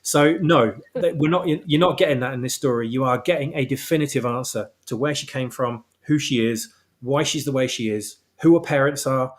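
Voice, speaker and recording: A man with a mid-pitched voice talks as a guest author in a relaxed interview. He explains things at length and states his opinions with emphasis. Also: normal speed. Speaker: guest author of fan fiction. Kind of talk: casual interview chat about his own story.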